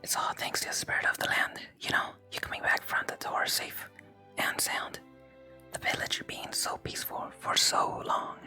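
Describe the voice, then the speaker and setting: An ASMR streamer voicing a pious village lady from a video game in a breathy whisper. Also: normal speed, sharp tone. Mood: devout, coaxing. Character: pious village lady urging thankful prayer. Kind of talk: whispered game dialogue reading. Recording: close whisper with game music behind.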